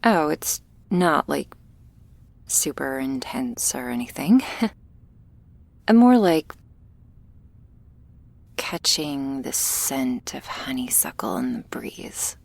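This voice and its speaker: A woman playing a shy, awkward young female vampire in an intimate ASMR roleplay, speaking softly and hesitantly, often close to a whisper. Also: extremely low pitch, very animated, creaky, no echo, heavily acted. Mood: awkward, musing. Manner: hesitant, halting, soft, often near a whisper.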